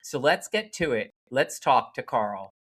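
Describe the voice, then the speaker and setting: A man from Connecticut hosting a podcast, opening the show and chatting with a guest over a remote call, animated and quick to laugh. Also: medium pitch, breathy edge. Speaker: podcast host. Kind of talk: casual interview chat.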